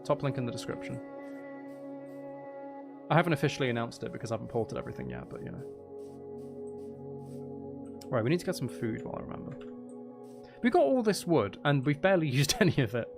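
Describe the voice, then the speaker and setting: Man chatting casually, with creaky voice. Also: medium pitch, sing-song, a little fast, pauses often, over music. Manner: rambling, thinking aloud. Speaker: livestream host. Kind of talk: casual gaming livestream chat.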